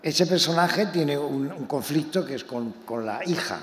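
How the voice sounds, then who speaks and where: Impassioned halting man in an echoey hall. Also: fairly high pitch, lively intonation, fairly bright tone. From Málaga, Spain. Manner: impassioned, halting, repeats words. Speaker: novelist presenting his book. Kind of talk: public book presentation talk. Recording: echoey hall, faint room noise.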